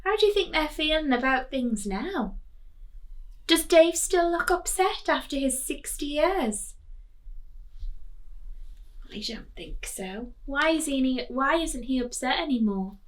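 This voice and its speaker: Animated, high-pitched woman reading storytime. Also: measured pace, long pauses. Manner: expressive, sing-song rhyming delivery, coaxing questions. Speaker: teacher reading a children's storybook aloud. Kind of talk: animated storytime reading with questions to young listeners.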